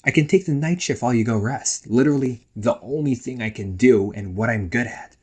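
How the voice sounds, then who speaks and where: A man's voice: mid-range voice, fairly quick, darkish tone, breathy edge, lightly acted. A man playing a playful, nagging young ghost brother in a casual roleplay audio drama, teasing and chatty. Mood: playful concern. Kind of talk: casual one-sided roleplay audio acting.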